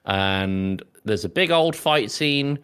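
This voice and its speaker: A man's voice: fairly low pitch, expressive, slow, fairly bright tone, smooth. A man hosting a casual film chat podcast in a jokey, storytelling manner. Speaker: podcast host.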